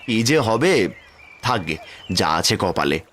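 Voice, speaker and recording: Man playing animated, hapless village fisherman. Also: fairly low pitch, unhurried, a little hiss or hum. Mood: weary, despairing. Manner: placating, self-pitying. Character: poor, hapless, mild village fisherman husband and father. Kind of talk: cartoon fairy-tale voice acting.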